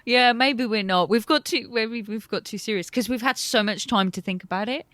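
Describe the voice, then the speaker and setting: A woman's voice: on the high side, moderate pace, a bit bright, no echo. Podcast co-host, woman, from Europe, chatty and rambling, dry close sound. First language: not English. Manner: rambling, self-interrupting, giggly. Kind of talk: casual chat. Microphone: remote call.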